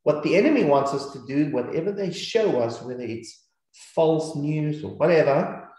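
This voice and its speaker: A man's voice: a little high, expressive, moderate pace, darkish tone. A man with a New Zealand accent teaching scripture in an earnest, repetitive way. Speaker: Bible teacher. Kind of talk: scripture teaching over slides. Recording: very echoey room.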